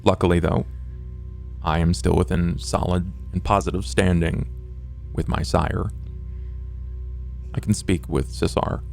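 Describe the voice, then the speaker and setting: A very deep, creaky voice for a courtly and macabre vampire fixer, acted by a man in a tabletop game. He speaks formally and ornately, in measured phrases, over music. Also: level tone, darkish tone. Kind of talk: improvised tabletop roleplay drama.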